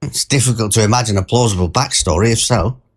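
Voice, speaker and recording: A man's voice: on the low side, fast talker, breathy edge. A man playing a mild-mannered, wordy train passenger who tells his anecdote as a conversational, digressive short story. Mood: pondering, pedantic. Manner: digressive, pedantic, wryly formal wording. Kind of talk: scripted first-person short story narration, conversational.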